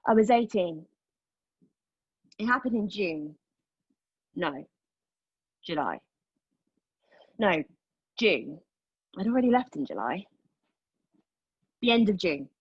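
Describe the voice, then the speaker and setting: Woman acting defiant agitated woman, stage play reading, slow halting delivery, emotional, outspoken, muffled lo-fi sound. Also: mid-range voice, breathy edge, no room sound, full character voice. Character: defiant, agitated woman arguing with a machine, giving personal testimony. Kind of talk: table read of a play, dramatic monologue.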